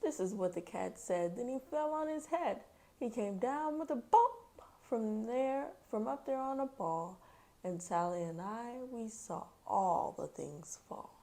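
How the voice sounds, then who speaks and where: Mellow lady doing kids story time, super animated, playful, chats in little asides. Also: on the high side, measured pace. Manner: expressive, playful, chatty in asides. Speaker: children's book read-aloud host.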